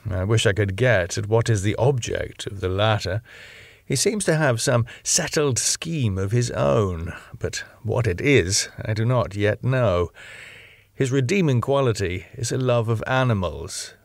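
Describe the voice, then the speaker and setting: A man narrating a classic novel as an audiobook in a measured, expressive, dramatic way. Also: fairly low pitch, vocal fry, no room sound.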